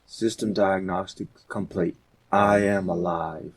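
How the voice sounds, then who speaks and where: A very low, mechanical robot acted out by a man delivers flat, measured system announcements with no emotion. The voice is roughened and blurred by a heavy sci-fi effect of distortion and modulation, as in an old space film. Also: heavily acted. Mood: emotionless. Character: mechanical computerized robot announcing a startup sequence. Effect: robot filter with static, distortion, phaser and wah-wah.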